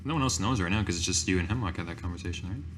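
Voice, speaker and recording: A man's voice: low voice, very fast, faint music behind. A man chatting casually and informally as a player in a tabletop roleplaying game.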